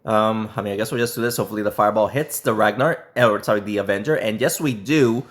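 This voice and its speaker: A man with a hoarse voice, sick and nearly losing it, commenting casually on a mobile game match he is playing. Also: lowish voice. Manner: throat clearing, halting restarts. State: sick, voice strained and nearly lost. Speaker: gaming YouTuber.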